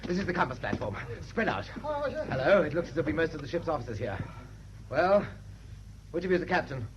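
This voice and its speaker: Brisk British boarding officer, rapid clipped orders, muffled noisy radio play recording. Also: male voice, a little high, very animated. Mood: commanding. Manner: clipped, authoritative. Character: brisk, decisive British naval first lieutenant leading boarders. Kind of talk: radio drama acting.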